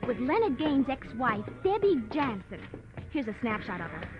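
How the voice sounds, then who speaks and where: High-voiced, determined young woman. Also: very animated, normal speed. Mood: confiding, determined. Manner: insistent, earnest, dramatic. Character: determined, urgent young woman seeking justice for her father. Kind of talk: 1940s radio acting. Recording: dull vintage radio recording with music.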